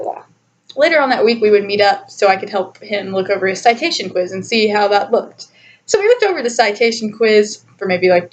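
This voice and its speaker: A young adult woman telling a personal story in a casual, chatty, animated way, giggly and often interrupting herself. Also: medium pitch, muffled recording. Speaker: storytime vlogger.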